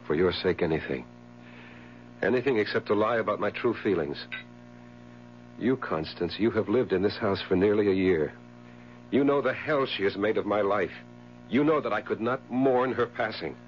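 An actor in a 1970s radio drama playing a tormented, brooding husband, speaking in a grave, formal, melodramatic way. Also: male voice, fairly low pitch, normal speed, long pauses, lightly acted. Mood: bitter. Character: tormented, brooding husband loathing his dying wife. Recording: muffled 1970s radio recording with hum.

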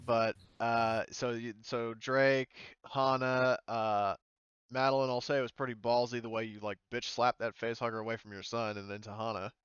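A man's voice: mid-range voice, little pitch movement, normal speed, a bit bright, clean tone, no echo, highs cut off. A man speaking informally as game master, chatting through a tabletop session in a loose, hesitant way. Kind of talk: casual tabletop game chat.

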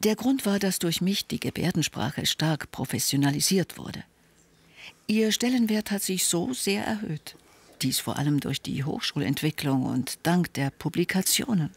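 A man reading a calm, measured first-person voice-over that translates signed speech in an interview. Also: a little high, creaky, breathy edge.

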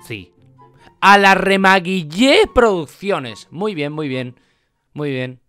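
A man's voice: high-pitched, animated, slow, bright, forceful tone, soft background music. A man in his twenties with an accent from Spain, doing casual, jokey reaction commentary as a YouTuber and repeating words for effect. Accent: Spanish (Spain). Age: 20s. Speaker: YouTuber host. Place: small bedroom, indoors. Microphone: desk condenser microphone, close.

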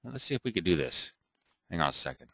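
A man thinking aloud and muttering to himself as he clicks through an off-the-cuff software tutorial at his computer. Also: deep voice, big pitch swings, fast talker, no room sound. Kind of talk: off-the-cuff screen recording tutorial.

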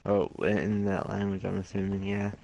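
A man's voice: low-pitched, flat delivery, moderate pace, creaky, mellow, muffled recording. A young man streaming a video game, chatting casually, rambling and laughing at himself often. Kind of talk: casual gaming chat. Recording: music playing behind.

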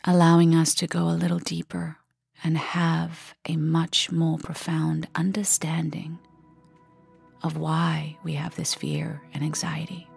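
Low, breathy woman guiding a meditation. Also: completely flat delivery, soft background music. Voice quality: breathy, husky, often near a whisper. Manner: gentle, unhurried, with long pauses. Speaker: meditation guide. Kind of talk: guided meditation, soft and soothing delivery.

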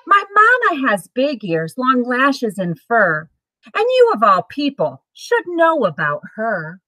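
A middle-aged woman reading a children's picture book aloud in an expressive, sing-song way for young listeners. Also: medium pitch, no room sound, poor audio. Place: small home room with bookshelves, indoors.

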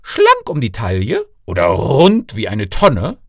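A man's voice: on the low side, huge pitch swings, slow, no room sound, clean studio sound, exaggerated voice. A man voicing a doting, eager king and father in a storybook reading, emphatic and declamatory. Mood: doting, expansive.

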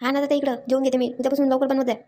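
A woman's voice: high-pitched, level tone, very fast, warm tone, natural voice. A woman voicing a nagging, self-important older mother-in-law in a cartoon comedy, complaining and scolding. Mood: grumbling. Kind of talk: cartoon comedy skit voice acting.

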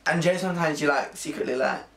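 A hoarse young man from England with a Nottingham accent, chatting playfully to camera in his room. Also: a little high, fast talker, echoey room. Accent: Nottingham English. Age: young adult. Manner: chatty, animated, playful slang. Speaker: vlogger. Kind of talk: casual chat to camera. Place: bedroom at home, indoors.

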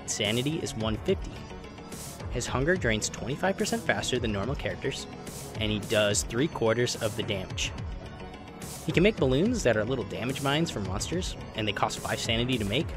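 A man narrating a game explainer voice-over in an informal, opinionated, emphatic way, with casual asides. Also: mid-range voice. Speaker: gaming video narrator. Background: background music.

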